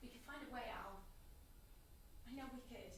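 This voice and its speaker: A woman playing a guilt-ridden, pleading estranged best friend in a naturalistic drama, earnest, halting and emotionally raw. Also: on the high side, lightly acted. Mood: gentle pleading. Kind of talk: naturalistic two-hander drama dialogue. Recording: distant, very echoey room with faint noise.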